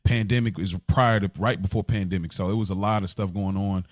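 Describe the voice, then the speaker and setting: A man's voice: sits low, steady tone, brisk, dry recording. A man co-hosting a podcast, telling personal stories casually, often repeating words and restarting his phrases. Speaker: podcast co-host. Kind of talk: casual personal storytelling in conversation.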